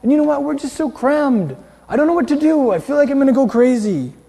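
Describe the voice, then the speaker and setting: Man acting out a flustered family man, high-pitched, plaintive, exclaiming, storytelling in a reverberant hall. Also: animated, fast talker, creaky, dark tone, full character voice. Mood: exasperated, pleading.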